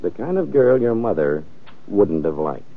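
An actor playing a hard-boiled, sardonic police lieutenant in an old-time radio crime drama. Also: male voice, lowish voice, very animated, normal speed. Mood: sardonic, matter-of-fact. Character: wisecracking, world-weary police lieutenant, a man. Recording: muffled, narrow-band old radio recording.

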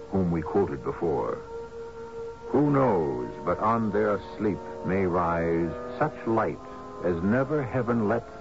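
A man hosting a radio mystery drama, narrating in a solemn, literary, deliberate manner and reciting verse. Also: deep voice, gravelly. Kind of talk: scripted dramatic radio narration with poetry quotes. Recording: muffled old radio broadcast, music underneath.

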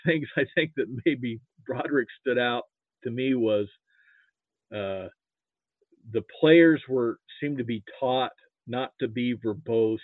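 A man's voice: mid-range voice, dry recording, phone-quality sound. A middle-aged or older man from Nebraska reminiscing casually about sports as an interview guest, rambling and often repeating words.

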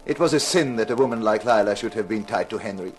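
A man's voice: on the low side, quick pace, soft background music, lightly acted. An actor playing a haughty, acquisitive connoisseur in a 1950s radio mystery, pompous and scornful of his rivals. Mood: smug.

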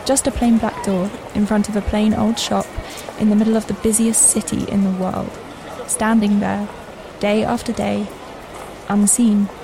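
An actress playing a wry, chatty shop worker who confides in the listener in an audio fantasy drama. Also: female voice, mid-range voice, little pitch movement, normal speed, gravelly, noisy background, natural voice. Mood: wry, confiding. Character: wry, chatty young woman working in a magic shop. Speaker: narrating lead character of an audio fiction series. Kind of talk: intimate conversational first-person dramatic narration.

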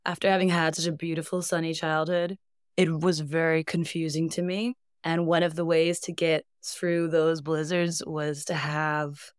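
A woman's voice: low voice, clear, resonant tone, no room sound, clean studio sound. A young woman from Iceland, a band's singer, telling stories in a casual interview with frequent laughs. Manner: reflective, laughs often, storytelling. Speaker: band singer, guest.

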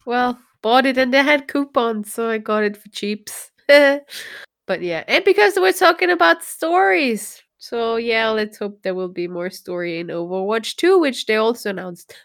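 A woman's voice: a little high, very animated, moderate pace, fairly bright tone, clear, resonant tone. A woman in her thirties with a slightly nasal voice, co-hosting a podcast in a playful, chatty, casual way. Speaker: podcast co-host.

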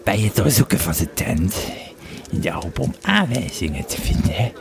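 A man playing a grieving Roman legionary who tells his memories haltingly and reflectively in an audio drama. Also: sits low, sing-song, creaky, a crowd in the background, heavily acted. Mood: grave. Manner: halting, reflective storytelling with searching pauses. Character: grieving, fearful Roman legionary recalling his army days. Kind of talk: audio drama first-person narration.

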